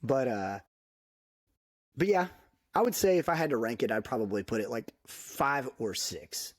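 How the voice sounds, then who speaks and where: A man giving his opinions in a casual podcast chat, animated, laughing often and saying "dude" a lot. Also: mid-range voice, moderate pace, dry recording, clean studio sound. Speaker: podcast guest.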